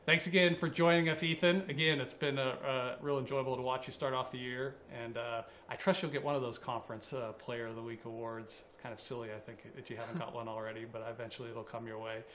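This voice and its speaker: A man's voice: mid-range voice, fairly even intonation, fast talker, hardly any pauses, a bit bright, a little hiss or hum. A man hosting a college sports interview, asking questions in a friendly, conversational way with fillers. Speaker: interviewer host.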